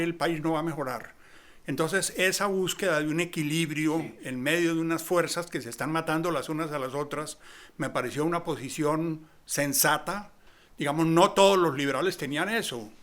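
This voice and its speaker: Colombian man, higher-pitched, reflective analyst. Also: fairly bright tone. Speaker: interviewed commentator on theatre and history. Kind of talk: spontaneous analytical talk.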